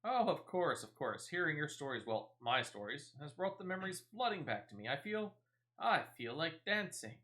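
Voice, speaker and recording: A man's voice: fairly high pitch, big pitch swings, normal speed, sharp tone. A man voicing a forgetful, cheerful old male ex-adventurer in a fantasy game, rambling and losing his train of thought. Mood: delighted. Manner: rambling, trailing off mid-sentence.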